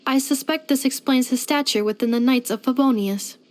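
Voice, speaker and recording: A woman's voice: high voice, a little mellow, natural voice. A woman voicing a polite, earnest female robot in a video game, speaking formally and precisely. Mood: measured, analytical. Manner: formal, precise, measured delivery with clinical machine-like phrasing. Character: polite female robot maid, earnest, gentle and literal-minded. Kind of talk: scripted video game character voice lines.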